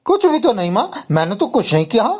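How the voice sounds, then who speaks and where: An actor playing a lazy, quick-tempered husband in a dramatic cartoon story, loud, indignant and whining. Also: medium pitch, big pitch swings, slightly rough. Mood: indignant, defensive.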